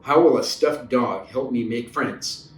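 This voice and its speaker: A man's voice: mid-range voice, measured pace, slight background noise, close to an everyday voice. A man voicing an anxious, shy third-grade boy in a plain, childlike way for a storybook, recorded roomy and distant. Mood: worried, doubtful. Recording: roomy echo, distant mic.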